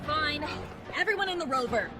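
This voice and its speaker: A woman's voice: high voice, expressive, normal speed, over loud music, over a noisy crowd. A woman playing an angry, sarcastic, foul-mouthed rover driver in a video game. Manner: sarcastic, sharp-tongued. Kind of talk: video game cutscene acting.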